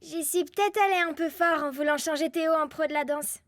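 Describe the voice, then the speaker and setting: A woman voicing an energetic, bossy young girl in a children's cartoon, with a childlike, instructing delivery. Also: sits high, a little fast, noisy background, natural voice. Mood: thoughtful. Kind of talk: French children's cartoon voice acting. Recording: loud music behind the voice.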